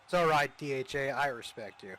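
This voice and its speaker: A man's voice: mid-range voice, brisk, bright, forceful tone, rough recording. A man commentating on a wrestling show in a casual, hesitant way, often restarting his words. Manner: hesitant, many fillers and restarts.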